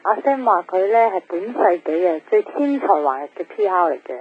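A woman's voice: lowish voice, sing-song, normal speed. Down a thin telephone line with faint noise, a young woman chatting in a radio drama. She is a breezy, teasing friend who slips English words into her talk. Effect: telephone filter.

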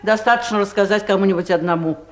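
A woman's voice: medium pitch, fairly even intonation, fast, soft background music, close to an everyday voice. A woman playing a brassy, chatty office busybody in a radio comedy drama, with a theatrical manner. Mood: gossiping eagerly. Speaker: actor in an audio play.

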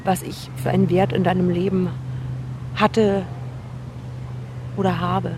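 A woman's voice: on the low side, flat delivery, measured pace, close to an everyday voice. An actress playing an earnest, probing woman in a naturalistic radio drama, speaking conversationally and a little hesitantly. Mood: earnest, tentative. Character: earnest woman gauging her worth, awkward, probing. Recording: noisy location recording with ambient hum.

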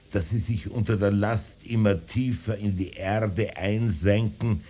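Slow, low-voiced old man musing. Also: dark tone, husky. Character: rambling old man spinning absurd apocalyptic visions. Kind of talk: literary radio-play monologue.

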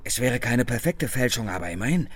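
An actor playing an embittered small-town loner, anxious yet determined, in a German radio crime drama, speaking earnestly and uneasily. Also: male voice, mid-range voice, expressive, fairly quick, natural voice.

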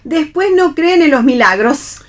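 A woman acting a pious, earnest, gently admonishing figure in a play reading for radio, speaking in sententious lines. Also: sits high, expressive, unhurried.

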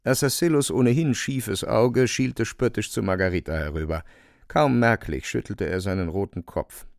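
Guy narrating a radio drama, low creaky voice, deliberate literary storytelling, close dry sound. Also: no room sound.

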